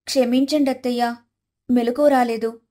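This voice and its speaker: A woman's voice: a little high, fairly even intonation, normal speed, warm tone, close to an everyday voice. A woman voicing a dutiful, apologetic young wife in a cartoon story, polite and deferential. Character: dutiful, apologetic elder-sister daughter-in-law.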